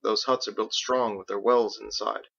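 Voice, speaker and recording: Guy doing a calm resolute master in an audiobook, matter of fact, creaky voice, slightly dull recording. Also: fairly low pitch. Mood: firm, thoughtful. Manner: measured, firm, matter-of-fact. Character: calm, resolute male master, patient and grave.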